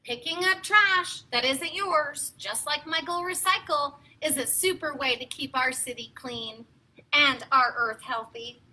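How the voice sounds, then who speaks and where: A woman hosting story time, reading aloud and talking to young children in an encouraging, expressive way with simple phrasing. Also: high voice, measured pace, slight background noise.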